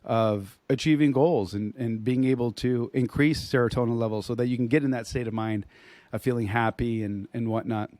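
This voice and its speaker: A man co-hosting a podcast in casual chat, his voice hoarse as he loses it, talking in a rambling way with restarts and throat clearing. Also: medium pitch, steady tone, normal speed, darkish tone, no echo. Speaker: podcast co-host.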